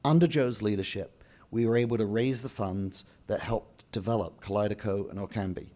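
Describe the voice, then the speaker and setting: Guy reading a speech at a dinner, low mellow voice, goes off script now and then. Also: moderate pace. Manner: reads a script with occasional asides and restarts. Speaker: event speaker and emcee. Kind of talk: prepared speech read at a podium. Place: large banquet hall.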